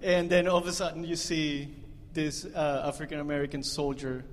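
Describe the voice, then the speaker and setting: A man's voice: a little high, little pitch movement, normal speed. A man leading a band, chatting and joking with the audience between songs on a concert stage. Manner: joking, chatty, laughs at own jokes. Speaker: band leader. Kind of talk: onstage banter between songs. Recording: live concert hall reverb.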